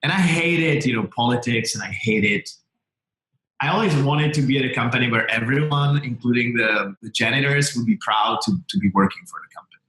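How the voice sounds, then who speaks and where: A man's voice: medium pitch, a little fast, bright, forceful tone, reverberant, poor audio. A man of about forty from the Czech Republic with a Czech accent, talking conversationally as an interview guest and filling his stories with "you know" and "like". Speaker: interview guest, tech entrepreneur.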